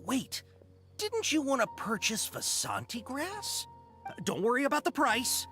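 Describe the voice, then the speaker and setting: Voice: mid-range voice, wildly swooping pitch, unhurried, bright, forceful tone, faint music behind, full character voice. An actor playing a grateful, generous merchant in a video game, effusive and polite. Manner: effusive, exclaiming.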